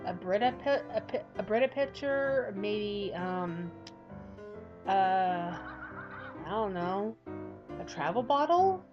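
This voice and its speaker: A woman's voice: medium pitch, expressive, takes it slowly, pauses often, lo-fi sound, music in the background. A woman YouTuber reading a visual novel aloud with casual, playful, giggly commentary. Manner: playful, giggly, self-mocking.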